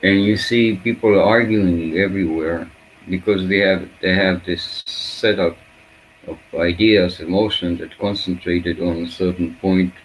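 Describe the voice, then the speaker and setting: A man, a Hebrew speaker, giving an unscripted reflective spiritual talk in halting, fragmented phrases with searching pauses. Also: sits low, slight background noise, low-quality recording.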